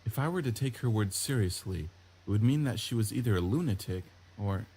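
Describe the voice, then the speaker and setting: A man's voice: lowish voice, animated, a little fast, breathy edge. A man reading a visual novel's story aloud as scripted narration. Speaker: gameplay video host reading a visual novel. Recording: loud game music under the voice.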